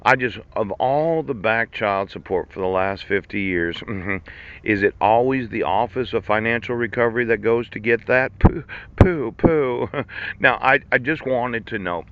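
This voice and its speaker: A man's voice: fairly low pitch, measured pace, smooth. A man in his fifties ranting in a sarcastic monologue, laughing between lines and swearing. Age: 50s. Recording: dull lo-fi recording.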